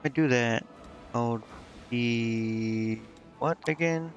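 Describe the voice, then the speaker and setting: A man's voice: mid-range voice, slow pace, dull audio. A man streaming a game, muttering indecisively to himself in casual commentary as he thinks aloud. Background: game music.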